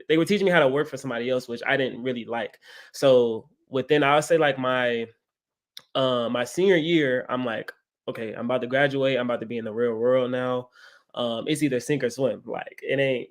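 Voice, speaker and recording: A man's voice: medium pitch, normal speed. Young man repeating "right?". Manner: repetitive, leans on "right?". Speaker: guest, credit educator. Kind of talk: casual interview talk.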